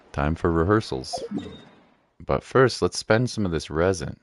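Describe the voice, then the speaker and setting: A man giving casual, playful gameplay commentary as a streamer, often repeating his phrases. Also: rumbling low. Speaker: game streamer.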